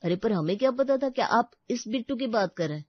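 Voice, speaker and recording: A woman voicing excitable, sentimental male relatives with melodramatic delivery in a comic family story. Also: a little high, fast, breathy edge, no room sound, lo-fi sound. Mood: exasperated.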